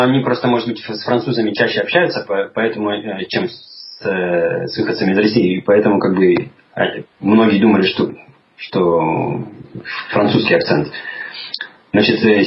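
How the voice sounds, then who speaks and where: Hesitant man, slightly muffled recording. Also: on the low side. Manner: thoughtful, many hesitations and restarts. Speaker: guest. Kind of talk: casual conversation. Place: lives in England. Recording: slightly dull lo-fi audio with faint noise.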